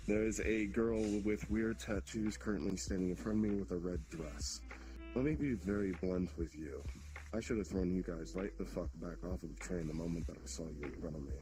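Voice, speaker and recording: A man's voice: low voice, a little fast, lightly acted, low-quality recording. A man playing a proud, blunt, ancient demon king in an improvised online fantasy roleplay, coolly threatening and dismissive. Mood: blunt, suspicious. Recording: background music under the voice.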